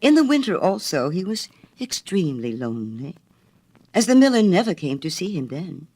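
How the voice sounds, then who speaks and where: A male storyteller reads a children's tale with great expression. His voice is a little high for a man and rises and falls dramatically from phrase to phrase. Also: very animated, moderate pace, dark tone, slightly rough.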